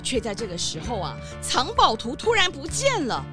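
Woman narrator, animated intonation, expressive children's storytelling, music bed. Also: on the high side, normal speed.

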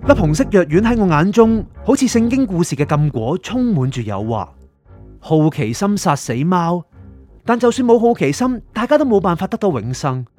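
A man's voice: high voice, sing-song, moderate pace, a little mellow. An actor with a Hong Kong Cantonese accent playing an anxious, curious male writer who narrates his story introspectively in a radio drama. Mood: pensive. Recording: music under the voice.

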